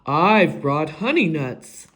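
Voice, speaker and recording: A woman voicing a big, friendly badger in a storybook read-aloud, speaking in hearty, drawn-out phrases. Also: low-pitched, sing-song, exaggerated voice. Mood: cheerful, inviting.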